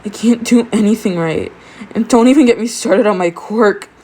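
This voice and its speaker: A woman's voice: mid-range voice, normal speed, dark tone, slightly rough, voice pushed up, natural voice, poor audio. A woman voicing an anguished, self-loathing teenage boy in a dramatic fan fiction reading, pleading with strained emotion. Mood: tearful self-loathing.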